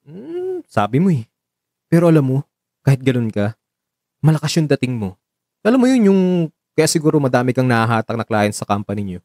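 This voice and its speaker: A man's voice: medium pitch, wildly swooping pitch, a little fast, pauses often, a little mellow, no echo. Actor as teasing, flustered young Filipino man, close-miked. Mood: warm, teasing. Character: teasing, playfully flustered young man hosting his date at home. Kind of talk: Tagalog radio drama dialogue, conversational.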